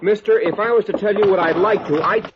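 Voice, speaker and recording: An actor playing an impatient, fed-up elevator operator with a pleading manner, in 1950s radio drama style. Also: male voice, sits high, a little fast, close to an everyday voice. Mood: exasperated. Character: exasperated male elevator operator, impatient underling. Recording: dull, noisy old radio recording.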